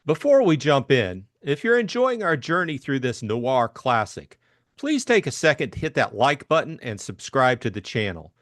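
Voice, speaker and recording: A man's voice: mid-range voice, very animated, normal speed, a bit bright, no echo, studio quality. A man giving a scripted introduction and narration as host of an audiobook reading.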